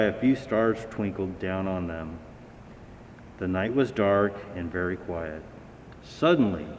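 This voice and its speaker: Man reading a storybook in an echoey hall. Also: a little mellow. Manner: dramatic, acting out sounds and dialogue. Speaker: fire battalion chief reading a children's story. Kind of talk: expressive storybook narration. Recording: echoey hall, dull sound, faint rumbling noise.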